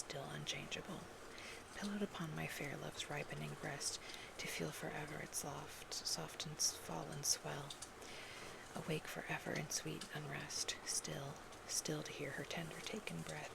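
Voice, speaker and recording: A woman performing whispered ASMR roleplay as a poetry reader, breathy and gentle, in a deliberate manner. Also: low voice, fairly even intonation, heavy background noise. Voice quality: breathy whisper with creaky edges.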